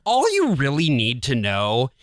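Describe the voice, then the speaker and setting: A man's voice: medium pitch, wildly swooping pitch, a little slow, dry recording, studio quality. A man from Michigan chatting casually and comedically as a podcast co-host, profane and emphatic with mock exasperation. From Grand Rapids, Michigan.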